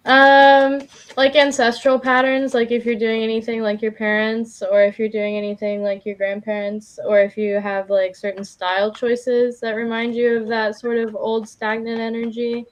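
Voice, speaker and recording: A woman's voice: on the high side, flat delivery, moderate pace. A woman doing a casual live tarot reading as a guest, chatty and informal, feeling her way with plenty of "like". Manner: informal, chatty, hesitant phrasing with frequent "like".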